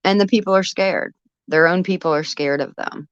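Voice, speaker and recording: A woman's voice: lowish voice, normal speed, clear, resonant tone, dry recording. A woman chatting casually as a guest on a livestream, heard through dull, lo-fi stream audio.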